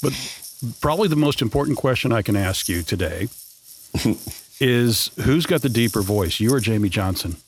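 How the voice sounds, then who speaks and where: Man, radio host, mid-range pitch, friendly questions, halting restarts. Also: expressive, a little hiss or hum. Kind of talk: casual interview.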